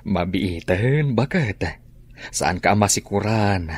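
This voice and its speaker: Husky, low Filipino farmer father. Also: lively intonation, slow pace, full character voice. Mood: hopeful. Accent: Ilocano Filipino. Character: hopeful, affectionate farmer husband and father. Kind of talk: Ilocano radio drama acting.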